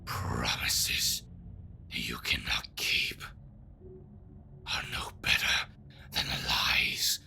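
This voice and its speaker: A very deep, heavily creaking voice playing a bitter, courtly schemer in an audio drama. The delivery is extremely slow and theatrical, full of lament and formal old-fashioned phrasing. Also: little pitch movement, sharp tone, full character voice. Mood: brooding, bitter. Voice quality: gravelly, whispery. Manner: theatrical, breathy half-whispers, sighing, archaic formal wording. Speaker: voice actor in an audio roleplay. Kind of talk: dramatic scripted monologue.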